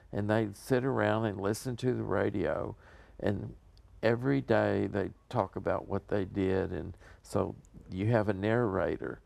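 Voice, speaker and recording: An older American man with a low, very creaky voice, giving a casual interview. He talks earnestly and wanders haltingly from thought to thought, in a close, dry recording. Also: a little mellow, no room sound. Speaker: interview guest promoting a community theater show.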